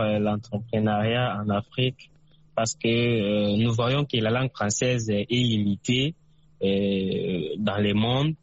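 A man from the DR Congo, a Tshiluba speaker, calling in to a radio show and giving his view slowly, with many hesitations and repeats. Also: medium pitch, no echo. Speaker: caller. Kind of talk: radio call-in opinion. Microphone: phone line. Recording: dull dry phone call on radio.

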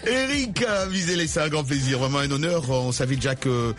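A man hosting a live radio interview in a studio, welcoming his guest with lively, effusive warmth. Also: on the high side, brisk. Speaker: radio host. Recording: radio broadcast with music bed.

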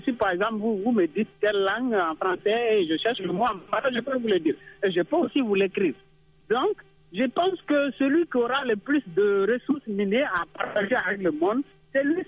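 A man's voice: sits high, dry recording. A man calling in to a radio show and explaining his view assertively, with some stumbles. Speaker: caller. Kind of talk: radio call-in opinion. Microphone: phone line. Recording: tinny dry phone line on radio.

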